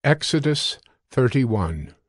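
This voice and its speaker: A man narrating scripture from a script in a measured, storytelling manner. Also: mid-range voice, very animated, no room sound, soft background music.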